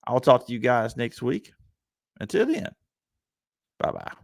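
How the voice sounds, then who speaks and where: Gravelly man hosting sports talk. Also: mid-range voice, big pitch swings, measured pace, dry recording, studio quality. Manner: casual, rambling, opinionated with filler words. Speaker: sports podcast host. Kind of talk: solo sports talk monologue.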